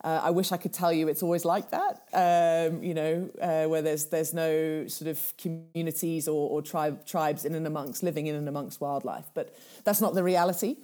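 Low mellow-voiced woman from Kenya. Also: steady tone, moderate pace, hardly any pauses. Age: 30s. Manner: thoughtful, many fillers and restarts. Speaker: guest, charity founder. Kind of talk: casual interview talk.

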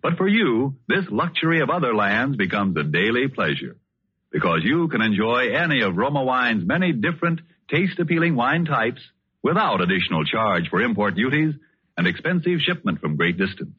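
American man, old radio commercial announcer, husky, emphatic, polished, dry studio sound. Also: mid-range voice, very animated, normal speed, no room sound, lo-fi sound. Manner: emphatic salesmanship. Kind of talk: 1940s radio advertisement, polished scripted delivery.

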